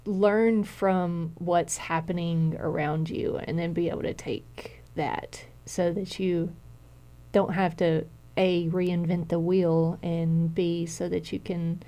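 A woman's voice: lowish voice, dark tone. An adult woman giving spontaneous advice in an interview, methodically laying out her points.

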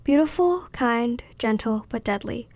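A woman's voice: fairly high pitch, expressive, measured pace, a little mellow, no room sound. A young woman in her teens reading her own written story aloud as a scripted narration. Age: teenager.

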